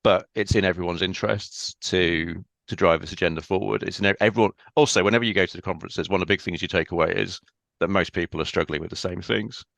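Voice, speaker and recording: A man speaking as a podcast guest in a casual interview, thoughtful and measured, often pausing on "um". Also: low-pitched, fairly even intonation, vocal fry, a bit bright, no room sound. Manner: thoughtful, measured, with frequent ums.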